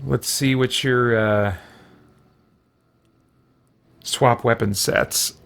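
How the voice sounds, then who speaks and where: A man's voice: medium pitch, steady tone, slow, gravelly, fairly bright tone, clear, resonant tone. A man giving casual let's-play commentary, muttering to himself hesitantly and exclaiming mild oaths as he thinks aloud. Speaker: gaming video host.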